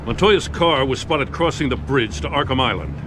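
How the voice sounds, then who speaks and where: Game police commissioner, male actor: weary, exasperated, mid-pitched, lively inflection. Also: normal speed, noisy background, natural voice. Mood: worried, exasperated.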